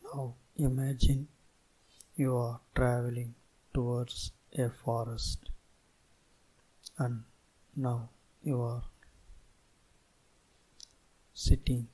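A man guiding a meditation in a soothing, deliberate manner, speaking in short phrases with long pauses. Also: medium pitch, flat delivery, warm tone, husky, poor audio. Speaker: meditation guide. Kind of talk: guided meditation instruction.

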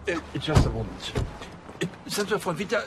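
An actor playing a flustered, officious police station chief who stammers fussily and struggles with English. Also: male voice, on the high side, sing-song, moderate pace, noisy background. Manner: stammering, fussy. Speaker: actor in a TV crime comedy.

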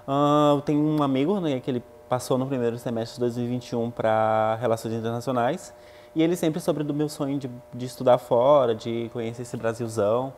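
A man's voice: medium pitch, fairly quick, darkish tone, a little hiss or hum. A man in his twenties from northern Brazil, a student giving an earnest interview on a lapel mic indoors. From Acre, northern Brazil. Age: 20s. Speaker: animal science student. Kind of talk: interview testimony. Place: indoors by a painted wall.

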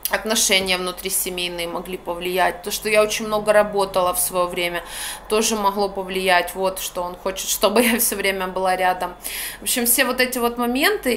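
A woman's voice: mid-range voice, fairly bright tone, slight background noise. A young woman with a Ukrainian accent, venting in a blunt, slangy, exasperated way while filming herself on a phone in a small room. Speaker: vlogger. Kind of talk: casual ranting monologue. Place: small room by a curtained window, indoors. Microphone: phone camera at arm's length.

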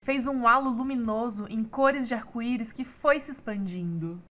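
A woman's voice: a little high, moderate pace, a bit bright. A woman reading scripted storytelling narration for a children's cartoon.

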